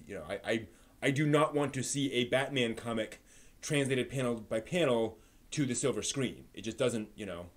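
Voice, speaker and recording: Guy on a live stream, mid-pitched voice, rambling thru his opinions, stops and restarts as he thinks out loud. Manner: halting, discursive, thinking aloud, repeating words. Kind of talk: unscripted opinionated chat about books and films.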